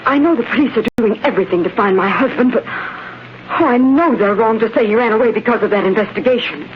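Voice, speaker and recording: An actress playing an anxious, earnest wife in an old-time radio drama, hesitant and pleading. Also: female voice, fairly high pitch, fairly quick, natural voice. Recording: muffled, noisy vintage radio recording.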